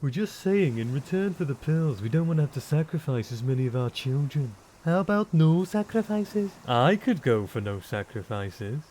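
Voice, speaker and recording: A man's voice: a little high, lively intonation, moderate pace, few pauses, darkish tone, slight background noise, lightly acted, low-quality recording. A man playing a villager in a comedy cartoon, bargaining with cosmic beings in a casual, reasonable way. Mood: pleading, casual.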